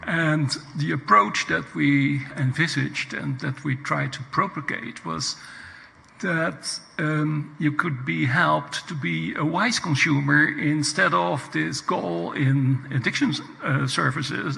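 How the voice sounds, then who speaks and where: A man speaks very slowly at a floor microphone in a large conference hall. His voice is deeply gravelly with a bright, tense tone, and he pauses often as he talks. A long reverb trails behind his words. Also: steady tone. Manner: deliberate, halting speech with pauses. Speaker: audience member at a conference. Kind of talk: comment from the floor.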